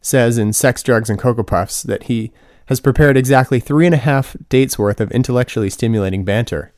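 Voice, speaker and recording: A man's voice: medium pitch, brisk, a little mellow, dry recording. A man in his twenties reading his own humorous book as scripted narration, with wry, dry comic asides. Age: 20s. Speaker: author reading own audiobook.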